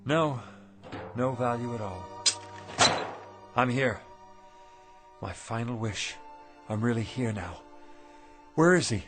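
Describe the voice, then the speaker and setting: A man acting in a radio drama as a weary, wistful middle-aged shopkeeper husband, halting and rueful in manner. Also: mid-range voice, animated, loud music behind the voice. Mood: agitated, dazed. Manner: halting, self-doubting, rueful. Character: weary, wistful failing antique shop husband.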